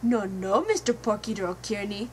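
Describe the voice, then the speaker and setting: A woman's voice: mid-range voice, expressive, moderate pace, a little mellow, soft background music, lightly acted. Firm clever piglet, voiced by a woman reader, defiant. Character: calm, sensible young male pig, Pooh Fro.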